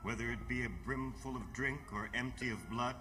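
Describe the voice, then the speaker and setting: An actor playing an idealistic, gentle ambulance doctor who speaks in earnest, formal, uplifting phrases in old-time radio theatre. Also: male voice, mid-range voice, fairly even intonation, a little fast, natural voice. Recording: noisy radio drama with background ambience.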